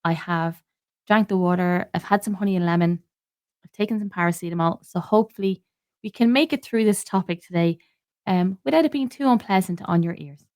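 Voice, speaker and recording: A woman's voice: fairly low pitch, fairly quick, a little mellow. An Irish woman in her thirties hosting a podcast, talking in an earnest, explanatory way while stuffy with a cold and a sore throat. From Ireland. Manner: earnest, explanatory, frequent "um" and restarts. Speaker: podcast host. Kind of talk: informative solo podcast talk.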